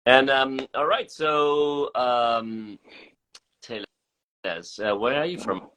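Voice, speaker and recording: A man's voice: mid-range voice, takes it slowly, fairly bright tone, slight background noise, poor audio. A man hosting a casual interview, often hesitating and rephrasing as he asks his questions. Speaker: interview host, festival artistic director.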